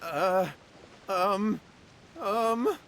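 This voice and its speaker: A man's voice: high voice, extremely slow, a bit bright, slight background noise, full character voice. A man playing a cowardly, panicky young man in a video game, stammering and flustered. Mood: nervous, evasive.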